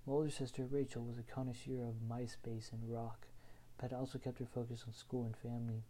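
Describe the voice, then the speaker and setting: A young man from Houston, Texas, reading his own written personal story aloud in an earnest, measured way. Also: mid-range voice, flat delivery, mellow, low-quality recording. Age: young adult.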